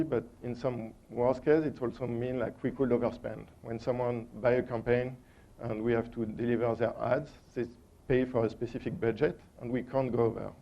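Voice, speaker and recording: French guy presenting at a tech conference, gravelly voice, lots of uhs, kinda muffled recording. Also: mid-range voice, dark tone. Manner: hesitant, many ums and uhs. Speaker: conference speaker.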